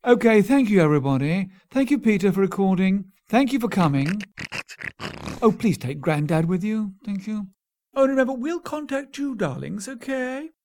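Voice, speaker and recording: A man playing a fussy, bossy theatrical casting director, brisk and gushing, in a comic character sketch. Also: high-pitched, expressive, a little mellow, voice pushed up. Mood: gushing farewell.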